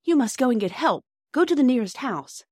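A woman voicing a caring, practical young girl in a storybook, gentle but decisive. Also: mid-range voice, animated, moderate pace, no room sound, clean studio sound, voice pushed up, lightly acted. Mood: urgent.

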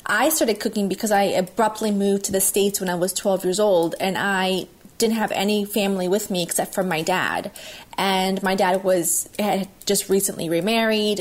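Loud, outspoken woman from Italy. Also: mid-range voice. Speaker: guest, cooking video host. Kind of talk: candid interview storytelling.

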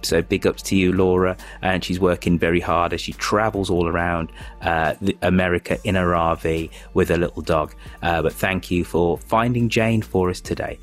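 A man hosting a political podcast, speaking in a measured, thoughtful way. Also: deep voice, fairly even intonation, soft background music. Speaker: podcast host.

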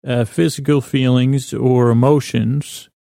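A man with a gravelly, mellow voice hosts a bedtime podcast. He rambles in a soothing, low-key way, stumbling and doubling back on his own words. The recording is close and dry. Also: fairly even intonation, no room sound. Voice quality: creaky. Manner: rambling, halting, self-correcting. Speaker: sleep podcast host. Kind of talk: meandering bedtime monologue.

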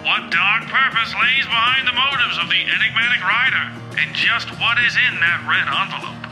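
A man's voice: high-pitched, normal speed, low-quality recording. A man reading a scripted teaser as a podcast narrator, with dramatic, suspenseful announcing. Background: music.

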